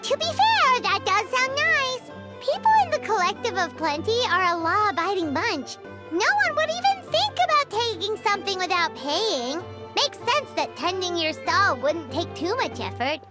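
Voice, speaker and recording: A woman voicing a small, chatty, doubtful childlike girl fairy in a video game, with a squeaky voice. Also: very animated, moderate pace. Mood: chatty, explaining. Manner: talks of self in third person. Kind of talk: video game cartoon-style acting. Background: game music.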